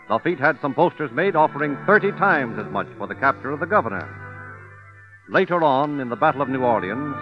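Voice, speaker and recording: A man narrating scripted stories as an announcer in dramatic, emphatic old-time radio style. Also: mid-range voice. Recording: dull old radio recording with music. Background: loud music.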